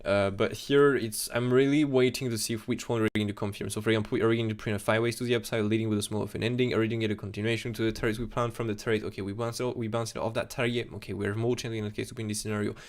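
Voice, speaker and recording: A young man with a French accent talks quickly and casually on a live stream from his desk. His lowish voice has a gravelly fry, and he gets excitable, repeating himself and restarting his sentences. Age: young adult. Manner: excitable, repeats "absolutely insane", many restarts. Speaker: crypto chart analyst streamer.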